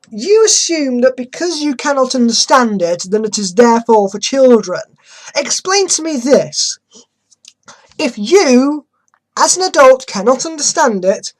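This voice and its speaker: A woman's voice: high-pitched, low-quality recording. A woman hosting a dramatic reading, commenting with sarcastic, exasperated emphasis. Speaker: host reading an article aloud with commentary.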